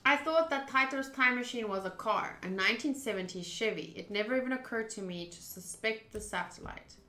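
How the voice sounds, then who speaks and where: A woman's voice: on the high side, a little fast, pushed and bright. A woman streaming a visual novel, reading its narration aloud with casual reactions. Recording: roomy, echoing voice track. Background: game music.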